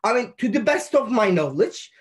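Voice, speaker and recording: A man's voice: high voice, very animated, moderate pace. Guy moderating an academic panel, rambly, hunting for words. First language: Turkish. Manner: rambling, searching for words while framing questions.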